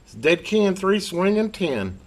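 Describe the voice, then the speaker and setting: A man talking casually through a hands-on repair as a technician, folksy and thinking aloud at his own unhurried rate. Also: high-pitched, sing-song. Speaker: radio repair technician and video host. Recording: loud electrical hum and background noise.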